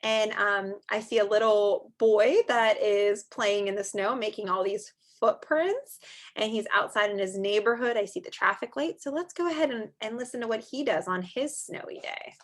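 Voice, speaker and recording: A woman in her thirties reading aloud and explaining to young children in an animated, expressive way, like a teacher. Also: mid-range voice, normal speed. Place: small furnished room at home, indoors.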